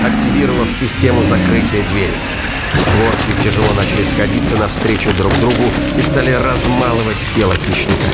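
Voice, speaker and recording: A man giving dramatic scripted narration of a science fiction thriller as an audiobook narrator. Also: medium pitch. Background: prominent music and battle sound effects.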